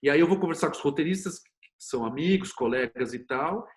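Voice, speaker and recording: A man's voice: on the high side, brisk. A Brazilian man speaking as an interview guest, explaining conversationally in an opinionated way with rhetorical questions. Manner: opinionated, animated storytelling with rhetorical questions and acted quotes. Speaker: screenwriter and series producer, interview guest.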